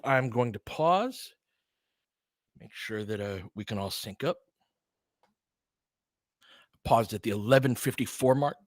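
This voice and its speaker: A man's voice: mid-range voice, no room sound. American male sports livestream host, informal and slangy, mid-range voice, very dry close recording. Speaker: basketball play-by-play livestream host. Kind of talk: casual fan chat and play-by-play commentary.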